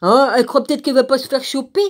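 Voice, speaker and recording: A woman's voice: high voice, fairly even intonation, rapid-fire. A woman on a rambling informal rant, blunt and sarcastic, with crude colloquial phrasing and frequent rhetorical tags. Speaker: vlogger.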